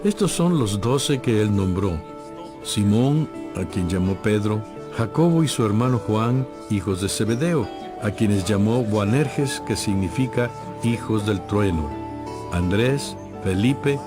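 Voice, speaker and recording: A man giving scripted, measured and solemn narration for a dramatized audio Bible. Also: on the low side, lively intonation, over music.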